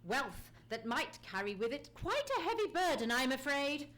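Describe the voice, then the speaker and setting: A woman plays an arch, poised lady detective in a radio mystery. Her voice is high and very expressive, with formal, precise phrasing and a wry edge. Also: normal speed. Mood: earnest, lofty. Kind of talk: old-time radio drama acting.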